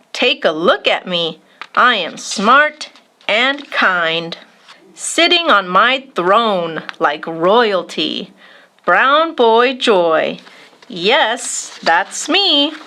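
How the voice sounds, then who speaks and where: Bright, high-voiced woman reading to children. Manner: expressive, measured delivery addressed to children. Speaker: children's storytime host. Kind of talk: picture book read-aloud for young children.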